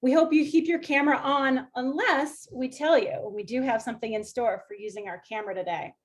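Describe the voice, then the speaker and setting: A woman with a high, light voice opens an online workshop, talking over a video call. She is welcoming and gently instructive, and she walks people through each step. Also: steady tone, a little fast. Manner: warm, inviting, gently instructive. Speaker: workshop facilitator.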